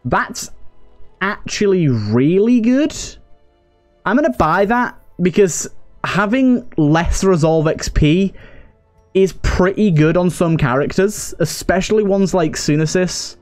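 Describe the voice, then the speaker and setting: A man's voice: high-pitched, lively intonation, slow, a little mellow. A man giving casual let's play commentary, thinking aloud and chatting to his game characters. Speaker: gaming video host. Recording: game music behind voice. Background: game music.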